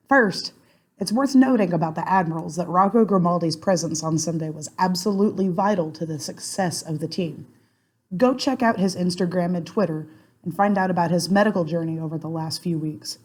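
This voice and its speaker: A woman with a scratchy, hoarse voice giving an upbeat prepared hockey preview as a contributor. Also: on the low side, a little mellow.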